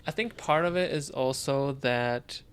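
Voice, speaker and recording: A man with a smooth, clear voice and a middling pitch talks casually as a podcast co-host. He feels his way through his points a little hesitantly, thinking aloud as he goes. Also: measured pace. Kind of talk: casual discussion of video games.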